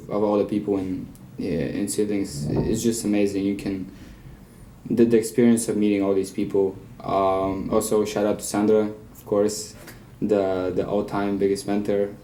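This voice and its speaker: A man's voice: lowish voice, fairly even intonation, moderate pace, dark tone, echoey room, a little hiss or hum, low-quality recording. A young man from Bulgaria introducing himself on video, hesitant with frequent fillers. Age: young adult. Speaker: graduating senior.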